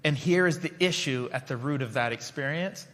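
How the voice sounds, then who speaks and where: A man's voice: mid-range voice, normal speed, a bit bright, big-room sound. A man in his forties who grew up in Liberia, giving a conversational keynote speech and often checking in with his audience. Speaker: keynote speaker. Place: large conference hall.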